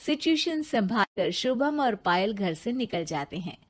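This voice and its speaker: A woman's voice: mid-range voice, big pitch swings, a little slow. A woman narrating a scripted moral story as a storyteller.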